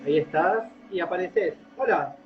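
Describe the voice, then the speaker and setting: Chatty host over loud music. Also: male voice, sits high, moderate pace, lo-fi sound. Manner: chatty, rambling, repeats words. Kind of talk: casual live-stream interview.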